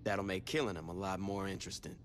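A man's voice: low-pitched, steady tone, fast talker, slight background noise, close to an everyday voice. A man playing a tough, bossy, short-tempered street gang leader in a video game, blunt and commanding. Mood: dry. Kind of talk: video game cutscene acting.

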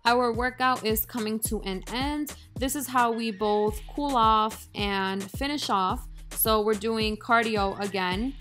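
A woman's voice: on the high side, fairly even intonation, takes it slowly, hardly any pauses. Chatty young woman narrating over music. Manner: chatty, self-deprecating, upbeat. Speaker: fitness vlogger. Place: voice-over, not recorded in the gym.

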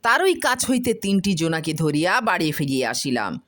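A woman voicing a boastful village husband who spins excited tall tales, repeating words for suspense in a storybook reading. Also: deep voice, normal speed.

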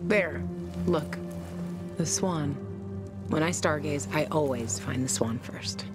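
A tough woman fighter in a video game, her voice very low and unhurried. She is guarded and wry with a bitter edge, speaking hushed over clearly audible music. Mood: wry, playful. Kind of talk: intimate cinematic game dialogue.

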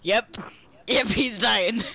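A man's voice: high-pitched, takes it slowly, creaky, bright, forceful tone. A man teasing a friend with mock concern and frequent laughter while chatting over a headset during an online game. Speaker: player in group game chat. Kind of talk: casual teasing gaming banter.

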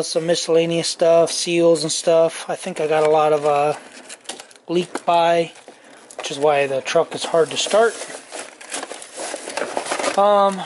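A man's voice: fairly high pitch, darkish tone, slight background noise. A tired man in his forties chatting casually to camera in his home garage, rambling with lots of ums and self-corrections. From Southern California. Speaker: garage vlogger. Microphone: on-camera mic, camera at arm's length and on bench.

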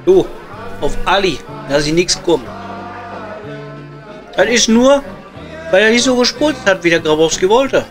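A man's voice: sits high, lively intonation, unhurried, long pauses. A man playing a blunt, coarse coal miner with a Ruhr German accent, bantering casually in an improvised audio comedy. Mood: grumbling. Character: gruff Ruhr coal miner at his pub. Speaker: comedy performer. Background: music.

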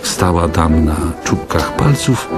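A man's voice: low-pitched, animated, slow. A man reading scripted first-person literary narration for an audio drama. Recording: loud music behind the voice. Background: loud music.

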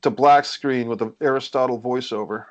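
Male table-read host and narrator, mid-pitched, muffled lo-fi video call audio. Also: fairly even intonation, moderate pace, no echo. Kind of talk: reading stage directions, casual moderating.

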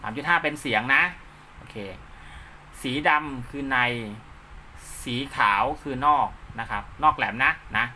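Bright-voiced man giving a hands-on tutorial. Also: medium pitch, measured pace, slight background noise. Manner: step-by-step, often checking the listener follows. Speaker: instructor, channel host.

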